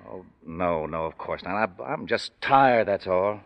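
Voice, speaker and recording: A man's voice: low voice, lively intonation, a little slow, vocal fry, no echo, exaggerated voice. An actor playing an anxious middle-aged father and mine boss, with a hesitant, faltering delivery in 1940s radio style. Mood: weary, dejected. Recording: dull vintage radio recording.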